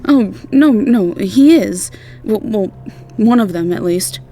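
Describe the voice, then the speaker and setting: A woman voicing a wry, reassuring woman at a fantasy inn, speaking casually with dry, gentle teasing. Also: mid-range voice, expressive, slow, faint music behind, voice pushed up. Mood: backtracking. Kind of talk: fantasy audio roleplay character acting.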